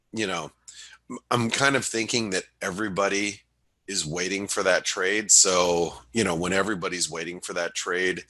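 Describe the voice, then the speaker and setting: A man hosting a webinar, talking through market analysis in a casual, halting way, sounding nasal and sniffly from a cold. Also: sits low, sharp tone, breathy edge. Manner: halting, many restarts and fillers. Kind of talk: casual trading commentary.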